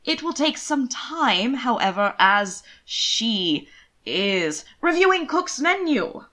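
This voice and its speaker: Woman voicing a nervous, placating earl, animated pitch. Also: slow pace, full character voice. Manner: formal, hesitant, throat-clearing. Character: nervous, placating English earl stalling a guest.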